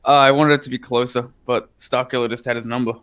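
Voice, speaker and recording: A man's voice: medium pitch, little pitch movement, quick pace, a bit bright, clear, resonant tone. An Australian man giving live, chatty game commentary as a caster, upbeat and informative. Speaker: esports caster.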